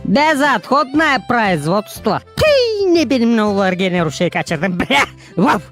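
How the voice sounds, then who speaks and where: A woman voicing an excitable, mouthy female animal in a children's cartoon, exclaiming and mocking. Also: on the high side, unhurried. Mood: comic. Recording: music behind the voice.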